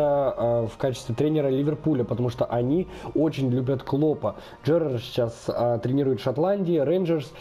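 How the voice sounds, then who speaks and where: A man's voice: medium pitch, mellow, breathy edge. Chatty young man narrating a football game. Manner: chatty, thinking aloud with many fillers. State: slightly unwell, voice affected. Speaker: gaming channel host. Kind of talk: casual let's-play commentary.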